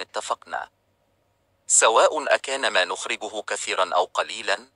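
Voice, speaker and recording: A man with a lowish, very bright voice reads an old tale aloud in a calm, steady storybook manner. The recording is close and dry, with no room sound behind him. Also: dry recording. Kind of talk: scripted storybook narration.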